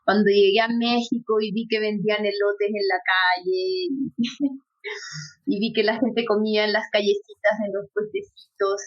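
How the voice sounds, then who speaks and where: A woman's voice: mid-range voice, fairly even intonation, moderate pace. Woman raised in Ecuador, interview guest, storytelling, laughs often, dull lo-fi audio. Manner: warm storytelling, laughs often, hesitant fillers. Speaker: guest, actress and yoga instructor. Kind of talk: conversational interview.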